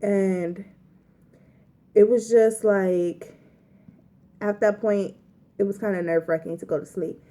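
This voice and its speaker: A woman's voice: mid-range voice, fairly even intonation, slow pace, long pauses, warm tone. A young woman vlogger casually telling a story to the camera, chatty and rambling with lots of filler words.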